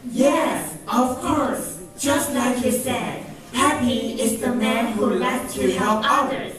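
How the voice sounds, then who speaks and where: An eager, earnest admirer in an amateur radio play, acted by a woman. Delivery is slow and fairly high, in a very echoey space with faint music behind. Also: expressive, distant mic, over a noisy crowd. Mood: grateful. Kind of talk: amateur English radio drama acting.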